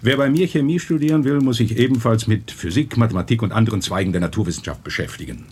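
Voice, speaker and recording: An actor playing a kindly, authoritative older professor in a radio drama, deliberate and fatherly. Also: fairly low pitch, darkish tone, slight background noise, lightly acted. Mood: firm, fatherly.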